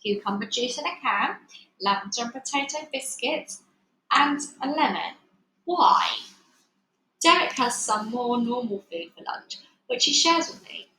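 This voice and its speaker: A woman reading a children's book aloud in an expressive, dramatic storytelling style. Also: on the high side, measured pace. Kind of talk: animated read-aloud narration. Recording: echoey room, faint background noise.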